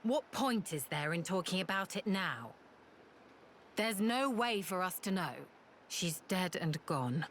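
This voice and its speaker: A woman's voice: lowish voice, expressive, unhurried, a little hiss or hum. A woman playing a proud, bitter young retainer in a video game drama, speaking in a guarded, formal way. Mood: dismissive. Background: loud orchestral strings music.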